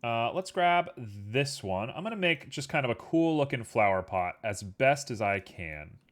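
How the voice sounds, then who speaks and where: A man chatting casually with his stream audience while he works at a computer. He talks in a relaxed, rambling way full of fillers and sounds a little sniffly. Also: mid-range voice, measured pace. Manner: easygoing, rambling, filler-heavy. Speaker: livestreamer. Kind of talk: casual chat while working on a 3D model.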